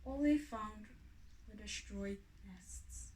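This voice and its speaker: A woman's voice: mid-range voice, slow pace, a little mellow. A woman reading a folk tale aloud as a storyteller. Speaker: story reader. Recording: echoey room, distant from mic.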